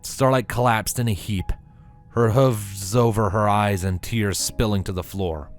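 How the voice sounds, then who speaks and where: A man's voice: fairly low pitch, fairly even intonation, a little slow, faint music behind. A man narrating a scripted story as a reader. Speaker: fan fiction reader.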